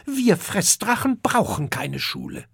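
Man doing a young eater-dragon, high voice, snappy, scornful, husky, gravelly. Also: very animated, a little slow, no echo, studio quality, full character voice. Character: young male eater-dragon, rude and boastful.

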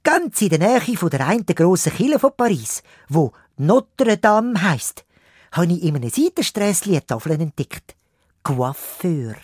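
A woman voicing a cheerful, excitable little male bird in a children's audio play, speaking with a Swiss German accent and giggling often. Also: lowish voice, no echo, clean studio sound. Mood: recounting.

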